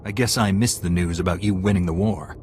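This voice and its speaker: Quick, low-voiced man, heroic knight acted. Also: darkish tone, faint music behind, close to an everyday voice. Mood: dry defiance. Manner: reassuring, matter-of-fact. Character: calm, confident young Jedi knight hero. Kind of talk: video game drama acting.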